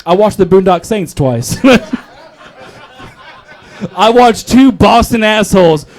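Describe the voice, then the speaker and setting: A man's voice: high voice, lively intonation, slow pace, darkish tone. A man performing stand-up at an open mic, telling stories in a crude, profane, self-deprecating manner. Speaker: stand-up comedian. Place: live open mic comedy show.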